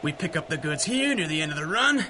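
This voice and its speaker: Casting: impatient 1930s mob boss, high-voiced, gruff and slangy, radio drama over clear background noise. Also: male voice, very animated, quick pace. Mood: smug. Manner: barking, impatient, slangy tough talk. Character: gruff, bossy 1930s rum-running gang boss.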